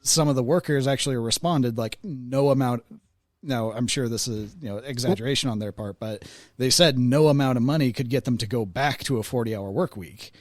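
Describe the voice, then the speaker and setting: A man's voice: mid-range voice, fairly quick, no echo, clean studio sound. An American man co-hosting a podcast, explaining things casually in conversation. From United States. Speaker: podcast co-host. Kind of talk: casual explanation in group chat.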